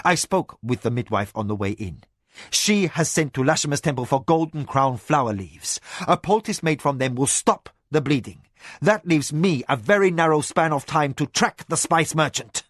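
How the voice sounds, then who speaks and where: A man's voice: on the high side, lively intonation, normal speed, a bit bright, no echo, clean studio sound. A man voicing a shrewd male spymaster who speaks firmly and bluntly to his master. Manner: firm, clipped.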